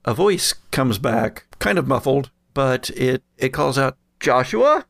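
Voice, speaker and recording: A man narrating an improvised tabletop game as game master, telling it in a halting, deliberate way. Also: mid-range voice, animated, vocal fry, dry recording, clean studio sound.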